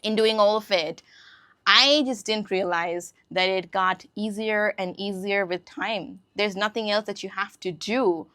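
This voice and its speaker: A woman's voice: medium pitch, fairly even intonation, unhurried, bright, forceful tone, no echo, studio quality. A woman whose first language is Hindi gives a casual English lesson, often checking in with her listeners. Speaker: English teacher. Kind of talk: casual spoken lesson to camera.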